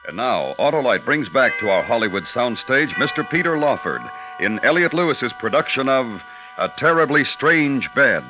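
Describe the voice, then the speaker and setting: A man's voice: medium pitch, sing-song, moderate pace. A man giving a formal, dramatic scripted introduction as a 1940s radio announcer. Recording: dull vintage radio, music behind.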